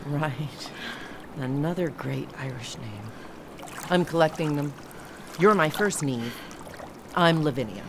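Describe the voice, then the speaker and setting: Reserved writer from Montana, dry, frank, animated intonation, radio drama, noisy watery background. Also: female voice, low-pitched, takes it slowly, gravelly, long pauses, full character voice. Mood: dry, polite. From Montana, United States. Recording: noisy outdoor lake scene, water sounds.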